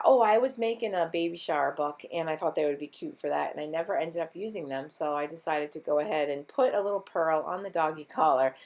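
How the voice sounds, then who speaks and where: A woman with a voice she says is going hoarse, chatting casually through a scrapbooking tutorial with lots of ums. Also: low-pitched, darkish tone. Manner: rambling, chatty, many ums. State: losing her voice. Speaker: scrapbooking vlogger. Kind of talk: casual craft tutorial chat.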